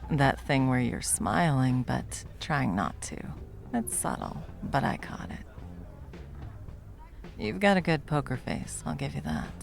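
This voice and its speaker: A woman playing a confident, flirtatious, protective bartender in an intimate ASMR roleplay, teasing and unhurried. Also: a bass voice, big pitch swings, vocal fry, music in the background, exaggerated voice. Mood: playful, teasing. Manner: teasing, unhurried, soft chuckles, dropping toward whispers. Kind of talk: ASMR roleplay, intimate one-sided conversation.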